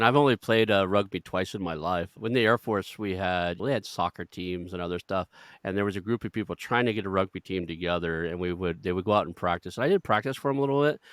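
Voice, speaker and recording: A man's voice: sits low, fairly bright tone, dry recording, clean studio sound. A man hosting a business podcast, chatting casually and in a self-deprecating, rambling way. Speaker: podcast host. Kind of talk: casual interview chat.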